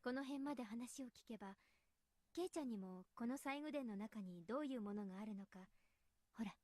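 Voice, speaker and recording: An actress playing a polite teenage girl who gently chides others to protect her friend, in Japanese visual novel style. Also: a little high, racing, no echo, natural voice. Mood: hushed, uneasy. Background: game music.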